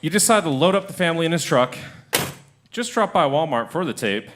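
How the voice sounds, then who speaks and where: A man's voice: a little high, animated, fairly quick, a bit bright, slight background noise. A man narrating a comic radio drama in the second person, playful and wry.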